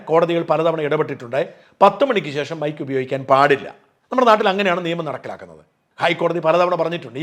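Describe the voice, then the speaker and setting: A man's voice: fairly high pitch, a bit bright. A middle-aged man from Kerala hosting an online news channel, giving emphatic, argumentative opinion commentary drawn from his own experience.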